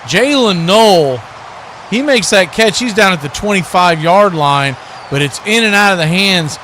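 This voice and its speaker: An American man giving live play-by-play football commentary in a folksy, animated way, full of homespun exclamations and bursting into loud calls. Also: sits high, unhurried, slight background noise. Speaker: play-by-play sports commentator on a radio watch party.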